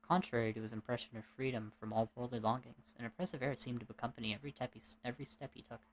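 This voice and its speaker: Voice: medium pitch, steady tone, racing, slight background noise. An amateur narrator reading a dramatic story at home, in a scripted storytelling style. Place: at home, recording indoors.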